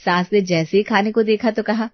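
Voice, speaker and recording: A woman's voice: mid-range voice, steady tone, a little fast, dry recording, highs cut off. A woman storyteller reading a scripted moral tale as narration.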